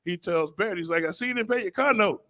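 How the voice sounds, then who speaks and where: A man from St. Louis with a somewhat high voice co-hosts a sports show. He chats casually and spins stories, jokey and halting, often repeating himself. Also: sharp tone. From St. Louis, United States. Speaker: sports talk co-host, former football player. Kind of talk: casual chat and storytelling.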